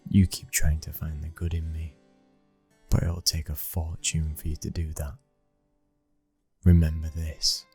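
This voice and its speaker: A British man playing a brooding, dominant young mafia boss's son in an intimate ASMR roleplay, speaking with slow, commanding control. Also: very deep voice, level tone, a little mellow, breathy edge, soft background music, full character voice. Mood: guarded, frank.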